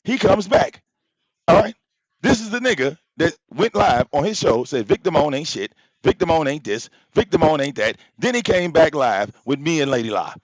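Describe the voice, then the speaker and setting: A loud-voiced man calls out a rival on his live stream, firing off profane rhetorical questions. His pitch swings all over the place, and the sound is dry and a bit dull. The mic pops now and then. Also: sing-song, normal speed, no echo. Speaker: livestream host. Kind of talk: confrontational live-stream rant. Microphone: popping mic.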